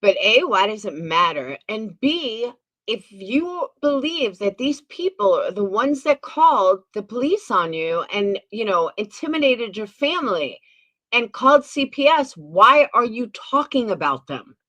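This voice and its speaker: A woman hosts a livestream in a sarcastic, emphatic way. She speaks slowly, and her pitch swings widely as she presses her points. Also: very animated, fairly bright tone. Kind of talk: livestream commentary.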